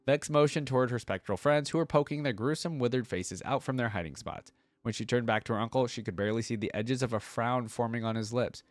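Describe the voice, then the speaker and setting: A man's voice: mid-range voice, brisk, dry recording, studio quality. A man hosting a reaction video, reading a story aloud and adding casual commentary.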